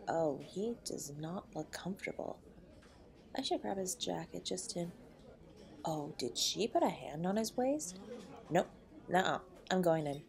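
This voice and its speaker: A woman playing a protective, loving wife in an intimate audio roleplay, with a breathy voice. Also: on the low side, darkish tone, heavily acted. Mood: concerned. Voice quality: breathy, often dropping to a whisper. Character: protective loving wife comforting and defending her husband.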